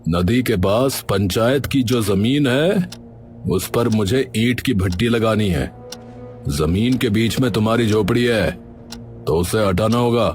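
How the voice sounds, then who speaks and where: A man playing a scheming village headman in a cartoon story, smooth at first but bossy and dismissive. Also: lowish voice, unhurried, a little mellow, soft background music, natural voice. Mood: calculating. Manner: falsely friendly, then bossy and dismissive. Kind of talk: Hindi cartoon story acting.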